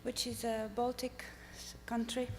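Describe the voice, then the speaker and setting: A woman from Estonia, a native Estonian speaker, giving a conference presentation about her art research, speaking carefully with many pauses and "uh" fillers. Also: a little high, level tone. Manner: careful, halting delivery with frequent "uh" pauses. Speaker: artist presenting at a summit. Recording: echoey hall with faint hum.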